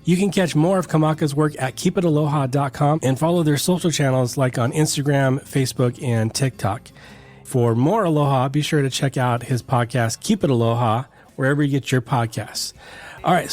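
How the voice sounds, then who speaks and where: Friendly guy hosting a travel podcast, upbeat, calls people man, mid pitch. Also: moderate pace, darkish tone, faint music behind. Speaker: podcast host. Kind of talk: scripted intro then casual interview.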